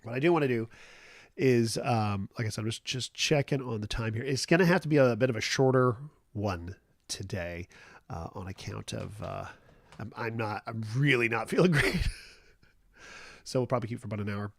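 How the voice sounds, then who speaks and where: A man's voice: mid-range voice, normal speed, vocal fry, no room sound, clean studio sound. Man with a stuffy, nasal cold voice. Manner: rambling and easygoing, many fillers and restarts. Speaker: livestream host. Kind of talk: casual morning chat.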